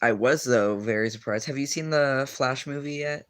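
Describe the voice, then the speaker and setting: A man's voice: mid-range voice, normal speed, a bit bright, clear, resonant tone. A teenage boy in casual livestream chat, answering viewers and rambling with lots of fillers and self-corrections. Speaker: streamer.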